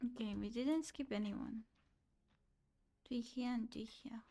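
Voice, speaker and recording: A woman's voice: fairly high pitch, unhurried, creaky, dark tone. A woman streamer giving casual, unscripted commentary while playing through a game.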